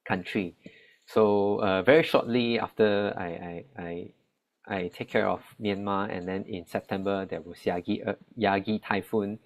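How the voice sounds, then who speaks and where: A young man with a Malaysian Chinese accent and a deep, low voice. He talks hesitantly, often stopping on "uh" and "um" and restarting his phrases as he speaks. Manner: hesitant, many fillers and restarts. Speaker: relief volunteer. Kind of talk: spoken talk.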